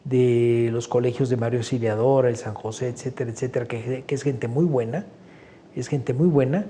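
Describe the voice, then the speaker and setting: Very dark-voiced Mexican man in his 60s. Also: medium pitch, fairly even intonation, normal speed, slight background noise. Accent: Mexican Spanish. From Honduras. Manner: conversational, opinionated, many fillers like "este". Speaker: guest painter. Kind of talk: interview answers.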